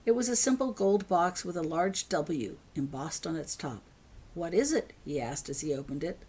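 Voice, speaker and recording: A woman with a slightly low voice reads her own fiction aloud. The delivery is scripted story narration. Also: normal speed, darkish tone, breathy edge. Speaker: author reading own story.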